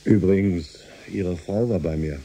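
Serious scientist in sci-fi radio play, husky n very mellow, formal, asking probing questions. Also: male voice, low-pitched, unhurried, full character voice. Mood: measured. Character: sober male doctor of physics, concerned and measured. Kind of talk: German science fiction radio drama acting.

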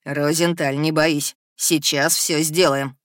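Woman putting on a sly, lower-pitched young male student. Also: steady tone, takes it slowly, no room sound, studio quality. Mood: sly, reassuring. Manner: teasing, sly, confident. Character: cocky young male student wizard, slyly playful.

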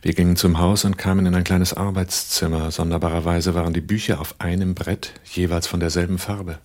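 An actor in a German radio play as an urbane, wary importer, speaking and narrating with dry, polite irony. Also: male voice, low voice, little pitch movement, a little fast, darkish tone, natural voice.

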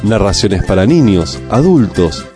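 Un hombre argentino que narra un anuncio de radio con cadencia lenta de cuentacuentos. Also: male voice, lowish voice, sing-song, a little slow, mellow. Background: music bed.

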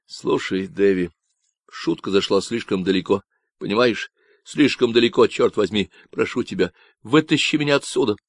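Narrator doing desperate trapped groom, urgent and pleading, low-pitched, dry. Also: male voice, lively intonation, normal speed, no room sound. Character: panicked young man trapped underground.

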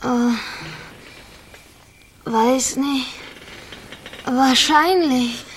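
A woman's voice: high-pitched, a little hiss or hum. An actress playing a timid, poor young pregnant woman in a radio drama, pleading plaintively through labour. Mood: pained, pleading. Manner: slow, plaintive pleading with groans.

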